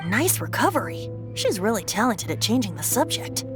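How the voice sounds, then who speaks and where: A woman's voice: medium pitch, big pitch swings, moderate pace, natural voice. A woman playing a youthful, playful bard boy in a video game, with a light, teasing way of talking. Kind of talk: English video game voice acting. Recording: game music behind the voice.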